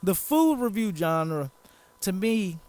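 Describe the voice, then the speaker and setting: A man's voice: sits high, big pitch swings, takes it slowly, a little mellow, no echo. A man hosting a casual podcast chat, rambling, joking and swearing a lot. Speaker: podcast host.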